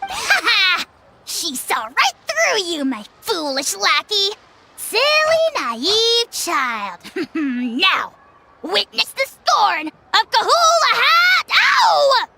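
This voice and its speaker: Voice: very high-pitched, sing-song, very slow, creaky, bright, forceful tone, slight background noise, full character voice. An actor voicing a tiny, arrogant dragon lord in a fantasy game, pompous, bratty and taunting. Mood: gleeful scorn. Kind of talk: animated fantasy game acting.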